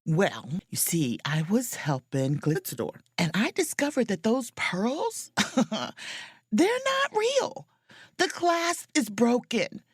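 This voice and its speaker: A woman playing a confident, sharp-witted, proud and flirtatious sleuth in an audio drama, with an emphatic, theatrical delivery. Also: on the high side, huge pitch swings, slow pace, husky, no echo, studio quality, full character voice. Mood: scheming, excited. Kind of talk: audio drama acting with first-person narration.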